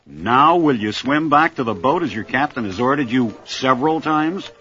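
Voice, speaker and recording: A man's voice: mid-range voice, lively intonation, normal speed, bright, forceful tone, faint music behind. Man playing a commanding grandfather captain, muffled recording. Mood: proud, stern. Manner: firm, authoritative. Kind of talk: vintage children's adventure drama.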